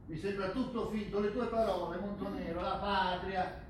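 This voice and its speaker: A man's voice: high-pitched, steady tone, normal speed, lightly acted. A male stage actor playing a bitter, defiant rugby coach, confrontational and accusing in a theatrical drama. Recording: very echoey distant stage recording, faint noise.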